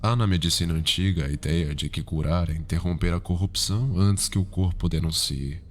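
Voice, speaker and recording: Casting: male actor as cultured, sinister killer-consultant, low voice, Brazilian accent, pompous precise delivery, ASMR drama over music. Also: fairly quick, lightly acted. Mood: clinical, savoring. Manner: pompous, precise, savoring his words. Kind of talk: ASMR roleplay acting.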